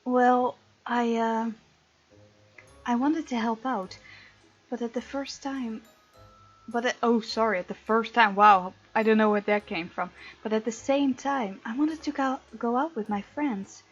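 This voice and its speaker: A woman's voice: on the high side, fairly even intonation, measured pace, slightly rough. A woman hosting a let's play of a visual novel, reading the game text aloud and commenting on it. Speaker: gaming host. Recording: dull lo-fi mic, game music underneath.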